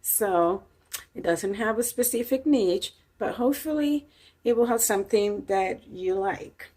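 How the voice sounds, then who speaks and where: A woman's voice: medium pitch, a little slow, darkish tone. Chatty Puerto Rican woman in her fifties. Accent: Puerto Rican Spanish. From Puerto Rico. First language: Spanish. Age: 50s. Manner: chatty, laughs easily, many fillers. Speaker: channel host. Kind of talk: casual chat to camera.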